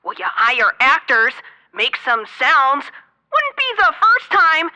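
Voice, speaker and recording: A woman's voice: high-pitched, very animated, takes it slowly, pushed and bright, muffled recording, full character voice. An actress playing a stubborn, skeptical woman phoning a radio call-in in a comedic radio drama, blunt and dismissive. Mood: stubborn disbelief.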